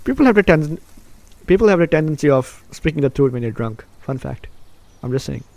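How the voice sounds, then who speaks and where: A man's voice: mid-range voice, fairly quick, gravelly, darkish tone. A man hosting a let's play, reading visual novel narration aloud and dropping in wry, teasing reactions.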